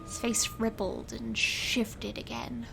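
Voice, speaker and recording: A sardonic young woman magician played by an actress recounts her story in an audio drama. She speaks slowly in a higher-set voice, controlled and dryly defiant, with some rumbling noise behind her. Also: flat delivery, gravelly, full character voice. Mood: wry, tense.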